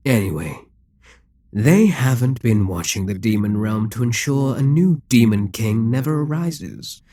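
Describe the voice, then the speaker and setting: A man playing a smug, dominant Demon King in a dramatic ASMR roleplay, speaking in a husky, taunting, persuasive way with deliberate pauses. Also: mid-range voice, expressive, warm tone, no room sound, studio quality. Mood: scornful, calculating.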